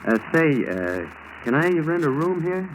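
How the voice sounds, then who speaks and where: A man's voice: on the high side, very animated, a little slow. An American actor playing a determined male FBI agent in a 1940s radio drama, talking in short, direct phrases. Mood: casual, feigning innocence. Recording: noisy old 1940s radio recording.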